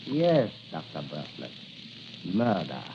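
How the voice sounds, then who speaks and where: Cold crooked financier, swooping delivery. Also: male voice, low-pitched, wildly swooping pitch, mellow, heavily acted. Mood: cold, gloating. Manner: menacing, mock-polite, deliberate emphasis. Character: smooth-talking, cold-blooded male crooked financier and murderer. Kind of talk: 1940s radio acting. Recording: crackly, muffled 1940 radio recording.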